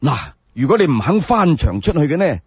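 Man voicing a wry gatekeeper, animated and high. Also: a little fast, breathy edge, no room sound, dull audio, full character voice. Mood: teasing. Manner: teasing, colloquial, pointed. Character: wry, lame gatekeeper, a former convict.

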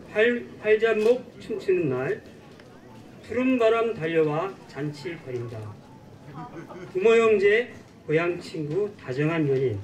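A man in his sixties reading a poem aloud at an outdoor festival in a measured way, using a stand microphone. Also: a little high, darkish tone, distant mic, rough recording. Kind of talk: poetry recitation. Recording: voice set back from the mic.

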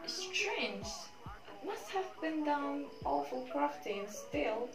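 A woman's voice: sits high, sing-song, slow, full character voice. A young woman playing a timid, dutiful sheriff's wife in an amateur stage drama. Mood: uneasy. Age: young adult. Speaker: student actor in a class play. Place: small bare dim room indoors. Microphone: far camera. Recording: echoey distant room recording, music behind.

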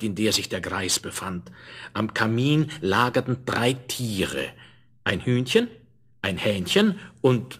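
A man narrating a fairy tale in a measured, expressive way, addressing the listener, on an old vinyl record. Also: on the low side, slightly rough. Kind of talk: scripted fairy-tale storytelling. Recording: crackly 1970s vinyl record transfer.

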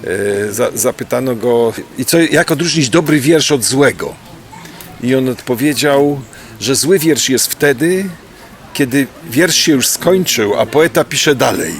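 A man's voice: medium pitch, lively intonation, unhurried, a little hiss or hum. Thoughtful man reflecting on poetry. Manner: thoughtful, with restarts and tag questions. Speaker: poet. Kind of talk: reflective interview talk.

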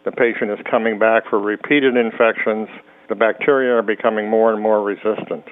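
Casting: man, urologist, measured explainer, lowish voice, tinny remote line. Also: steady tone. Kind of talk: medical podcast interview. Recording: tinny phone-like remote audio.

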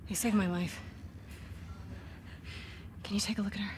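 A woman's voice: mid-range voice, level tone, normal speed, noisy background, close to an everyday voice. An actress playing a determined young woman soldier, terse and urgent, in a video game.

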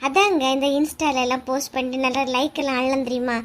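A woman's voice: sits high, fairly even intonation, fast, natural voice. A woman voicing a sulky young village wife in a cartoon comedy, speaking with a Kanyakumari Tamil accent in a whiny, coaxing manner.

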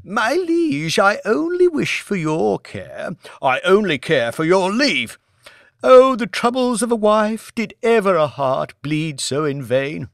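Gushing, high-pitched noble lady. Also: very animated, creaky, no room sound, studio quality, exaggerated voice. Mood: wounded pleading. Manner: gushing, theatrical, drawn-out delivery. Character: melodramatic, cloyingly devoted aristocratic wife.

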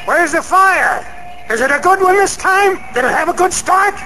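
An actor playing a weary night clerk lost in morbid, questioning daydreams in a radio play. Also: male voice, extremely high pitch, expressive, normal speed, over music, low-quality recording. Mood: eager, morbid. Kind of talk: radio-play inner monologue. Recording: loud sound effects, sirens behind.

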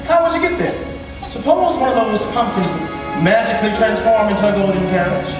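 A man's voice: sits high, fairly quick, music in the background, close to an everyday voice. Young man playing a sassy fairy godfather, echoey stage. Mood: wise, coaxing. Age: teens, 17. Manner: sassy, theatrical. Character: sassy, teasing, wise male fairy godfather. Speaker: student stage actor and singer. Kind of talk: musical theatre stage dialogue. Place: large indoor theatre stage, distant camera. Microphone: far camera. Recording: echoey theatre stage recorded from far off.

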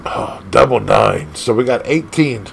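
A man casually commenting on an arcade game as he plays, excitable and laughing often, leaping from squeaky highs to low growls. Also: measured pace, gravelly, a little hiss or hum. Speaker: gaming channel host.

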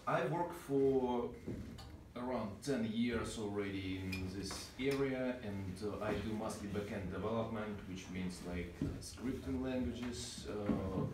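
A man's voice: lowish voice, a little slow. A man in his thirties with a Russian accent, teaching an informal programming class in a small meeting room and asking the students questions. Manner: relaxed, asks the class questions. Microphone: far camera. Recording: roomy, distant, noisy room sound.

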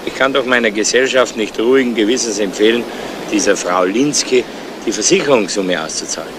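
An actor playing a fretful, suspicious insurance agent in a 1970s German crime radio play, talking in an insinuating, emphatic way. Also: male voice, on the low side, a little fast, noisy background, natural voice. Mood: worried, insistent.

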